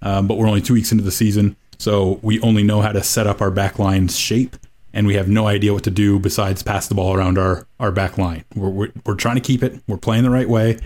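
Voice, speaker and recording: A man's voice: on the low side, flat delivery, a little fast, darkish tone. A man co-hosting a casual soccer podcast, giving a wry, rambling analysis full of fillers. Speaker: podcast co-host.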